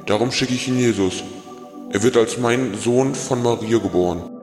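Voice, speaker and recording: A man's voice: medium pitch, normal speed, natural voice. Radio play: God, played by a man, authoritative and solemn, drenched in hall reverb over music. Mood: solemn, commanding. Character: God, solemn commanding male ruler of heaven.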